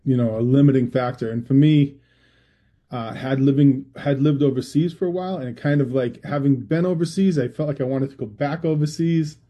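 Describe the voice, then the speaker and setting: A man's voice: medium pitch, fairly quick, mellow. A middle-aged man from Massachusetts with a Massachusetts accent, a researcher answering interview questions in a rambling way with many restarts. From Massachusetts, USA. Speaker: guest, public health researcher. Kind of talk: conversational interview.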